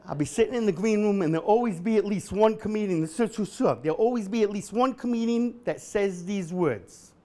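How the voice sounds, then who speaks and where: A middle-aged man doing a stand-up comedy set at an event, with a deadpan delivery that bursts into shouted singing. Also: high-pitched, moderate pace. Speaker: comedian host. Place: event hall with a live audience.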